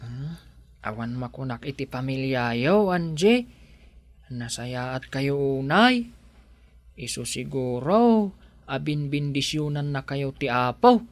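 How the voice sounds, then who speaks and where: A man acting a bashful young suitor in a radio drama. He speaks slowly with a lively, swinging pitch and a humble, tender manner. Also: dry recording. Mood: bashful, grateful. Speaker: actor in an audio drama.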